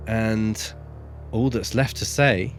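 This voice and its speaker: A man's voice: on the low side, fairly even intonation, slow pace, faint music behind. A man, co-hosting a podcast in a casual book review chat. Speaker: podcast co-host.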